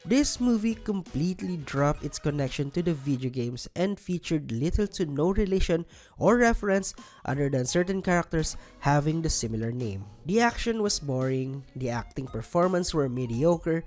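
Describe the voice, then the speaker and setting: A woman with a fairly low voice delivers scripted countdown commentary. Her intonation is very animated, with sardonic, emphatic opinions. Light music plays underneath. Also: normal speed. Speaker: video narrator. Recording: music bed under voice-over. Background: background music.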